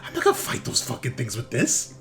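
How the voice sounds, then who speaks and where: A man's voice: medium pitch, wildly swooping pitch, a little fast, a bit bright. A man voicing an agitated, incredulous male survivor in a tabletop sci-fi horror game, defiant and profane. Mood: panicked, indignant.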